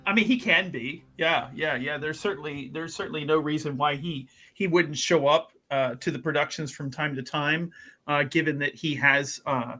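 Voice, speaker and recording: A man's voice: fairly high pitch, normal speed, bright, forceful tone, soft background music. A man narrating a tabletop game as game master, rambling and joking with many fillers.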